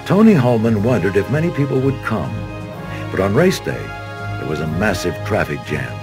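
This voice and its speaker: A man's voice: lowish voice, sing-song, normal speed. An American man reading scripted documentary narration as a studio voice-over. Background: background music.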